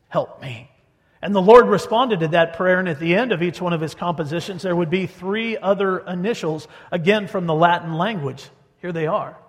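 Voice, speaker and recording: A man preaching a sermon, telling a story and stretching or rushing his words for emphasis. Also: a little high, in a large hall. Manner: storytelling, varies pace for emphasis. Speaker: pastor.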